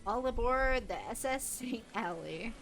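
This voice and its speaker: A woman's voice: fairly high pitch, expressive, measured pace, fairly bright tone, faint music behind. A woman voicing a confident, adventurous young woman on a date in a visual novel, breezy, teasing and coaxing. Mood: breezy pride.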